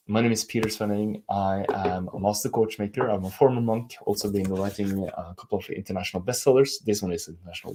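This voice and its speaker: A man with a Norwegian accent, hosting a live stream as a coach, speaking earnestly and gently while reading out viewer comments. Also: on the low side. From Norway. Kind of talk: live-stream teaching and chat.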